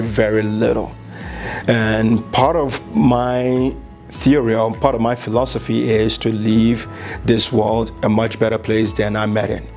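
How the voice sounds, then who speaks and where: Middle-aged man, insurance agent, steady monotone, earnest, faint music behind. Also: mid-range voice. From Liberia. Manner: measured, earnest, with frequent uh fillers. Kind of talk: business promo interview.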